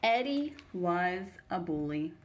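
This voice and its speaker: A woman's voice: on the low side, big pitch swings, takes it slowly, muffled recording, noisy background. A woman reading a children's story aloud as a teacher would, narrating expressively for young listeners.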